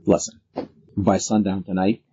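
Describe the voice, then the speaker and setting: A man's voice: low-pitched, little pitch movement, moderate pace, warm tone, husky, dull audio. A man playing a fierce, determined fantasy treasure hunter in a tabletop game, speaking tersely and resolutely. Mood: firm, urging.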